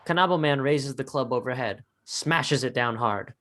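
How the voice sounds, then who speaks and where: A man with a medium-pitched voice narrating stage directions in a dramatic script reading. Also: normal speed, fairly bright tone.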